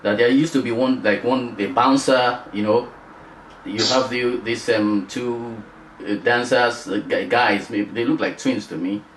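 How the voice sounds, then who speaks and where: Halting man interviewer in a roomy space. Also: medium pitch, steady tone, a bit bright. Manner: halting, many restarts and fillers. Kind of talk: casual interview chat. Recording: roomy, distant mic, faint noise.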